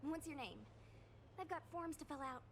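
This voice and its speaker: Casting: young female game knight, high voice, scatterbrained, chatty, eager to protect. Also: moderate pace, a bit bright, a little hiss or hum. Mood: flustered, brisk. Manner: chatty, informal, emphatic.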